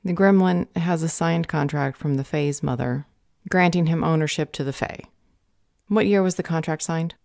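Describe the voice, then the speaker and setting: A woman's voice: low voice, moderate pace, gravelly, a little mellow, dry recording, studio quality. A woman playing a jaded, condescending government customer service clerk in an audio roleplay, bureaucratic and unhelpful. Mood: bored, dismissive. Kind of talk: audio roleplay drama acting.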